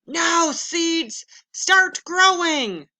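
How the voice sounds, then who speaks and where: An impatient, excitable male toad from a children's storybook, played by a woman. The voice jumps up high and bright, with big swings in pitch and loud, earnest emphasis. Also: drawn-out words, exaggerated voice. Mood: commanding, shouting. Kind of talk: children's storybook read-aloud.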